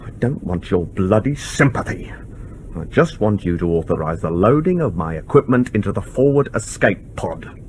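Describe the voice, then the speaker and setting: An actor playing a blunt, argumentative civilian specialist in a radio drama, with an insistent manner. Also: male voice, low-pitched, very animated, normal speed, a little mellow, a little hiss or hum. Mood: urgent, insistent. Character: combative, blunt civilian surveillance specialist aboard a submarine.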